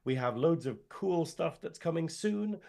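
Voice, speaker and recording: A man with a northern English accent, chatting casually as a podcast co-host. His voice is hoarse from illness and he rambles and laughs often. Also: on the high side, animated, unhurried, warm tone. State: hoarse, lost voice. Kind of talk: casual chat.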